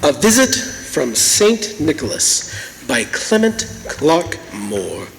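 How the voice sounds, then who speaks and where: Male poem reader, theatrical and exaggerated, very lively high pitch, clearly noisy live recording. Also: slow, big-room sound. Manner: dramatic, exaggerated delivery. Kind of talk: theatrical comic poetry reading for a stage radio show.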